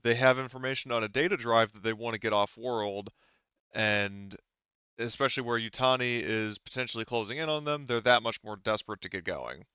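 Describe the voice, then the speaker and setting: A man narrating a tabletop roleplaying game as game master, in halting phrases with frequent self-corrections. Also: mid-range voice, bright, forceful tone, clear, resonant tone, no room sound, lo-fi sound.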